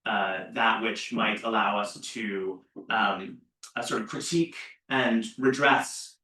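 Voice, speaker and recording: A man's voice: on the low side, fairly even intonation, slow pace, sharp tone. A man in a seminar audience asking a long academic question, hesitant, with many fillers and restarts. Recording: roomy seminar room, far from mic.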